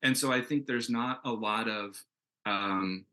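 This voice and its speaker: A man's voice: on the low side, unhurried, pushed and bright. A man talking casually and enthusiastically as a comic book creator on a panel, rambling a little as he goes. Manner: rambling, enthusiastic, peppered with "like" and "you know". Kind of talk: casual, conversational panel discussion.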